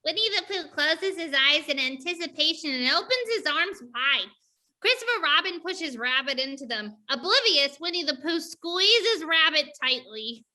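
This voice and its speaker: A woman's voice: sits high, big pitch swings, normal speed, pushed and bright, full character voice. A woman reading stage directions as the narrator of a scripted play reading.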